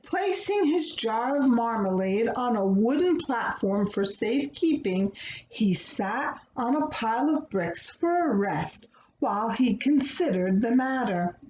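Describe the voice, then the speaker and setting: A woman reading a children's book aloud in an expressive, dramatic way, as if explaining to young listeners. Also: fairly high pitch, unhurried. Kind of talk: expressive storybook read-aloud with asides explaining words. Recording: roomy echo, clear background noise.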